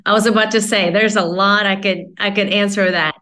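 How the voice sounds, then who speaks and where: A woman's voice: medium pitch, steady tone, brisk, bright, forceful tone. A woman in her forties from Latvia, a native Russian speaker, chatting as a podcast guest in a rambling way, with lots of fillers and restarts. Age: 40s. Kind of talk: casual interview chat.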